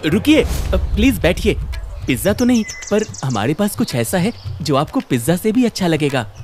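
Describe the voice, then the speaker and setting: Man acting an earnest young son, very animated. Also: high-pitched, moderate pace, slight background noise. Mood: eager. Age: young adult. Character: earnest, ambitious young city-educated son. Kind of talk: cartoon story acting. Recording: music under the voice.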